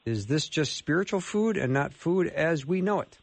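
A man's voice: a little high, animated, normal speed. A man with an American accent hosting a live radio call-in show in a casual, conversational style.

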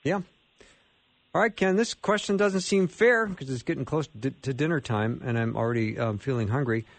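Male American call-in radio host, casual live delivery, mid-pitched, very dry close sound. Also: moderate pace, no echo.

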